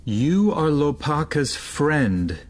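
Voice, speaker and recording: Man voicing an earnest, slow-speaking storybook seeker. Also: on the high side, big pitch swings, pitched above the performer's own voice, heavily acted. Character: earnest, anxious man on a desperate quest.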